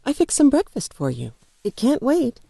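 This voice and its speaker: A woman plays a gently insistent mother in a story drama. Her voice is high and very animated, with a very warm, dark tone. The sound is dry. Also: moderate pace, vocal fry, no room sound, exaggerated voice. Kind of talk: audio storybook acting.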